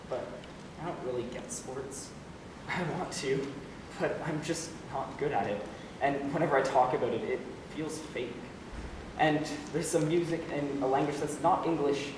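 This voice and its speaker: A man plays a sleepless high school student, gloomy and self-mocking. The monologue is subdued, dry and halting, picked up from far away in a very echoey hall with clear noise. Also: close to an everyday voice. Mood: gloomy, bitter. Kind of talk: stage monologue.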